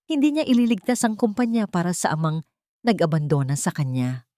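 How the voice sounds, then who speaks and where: A woman with a Filipino accent reads a scripted story in a dramatic narrating style. Her voice sits on the low side. The recording is dry and close, with very little room sound. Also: big pitch swings, a little fast, warm tone, dry recording.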